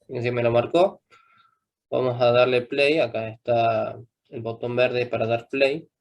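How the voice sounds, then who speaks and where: Young male coding instructor, mid-pitched, patient, constantly checks understanding. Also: fairly even intonation, unhurried, muffled recording. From Argentina. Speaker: programming teacher. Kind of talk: live coding class.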